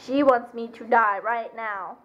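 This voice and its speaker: A woman's voice: fairly high pitch, unhurried, dull audio. A playful young woman filming her family at home, teasing and hyper, with clicking noise from a propped-up camera. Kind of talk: casual home video chat. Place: family home living room, indoors. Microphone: camera propped on a counter nearby.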